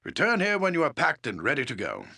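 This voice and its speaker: An actor playing a helpful town elder in a fantasy video game, with a rough, creaky voice and animated manner. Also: male voice, a little high, quick pace, heavily acted. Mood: helpful, expectant.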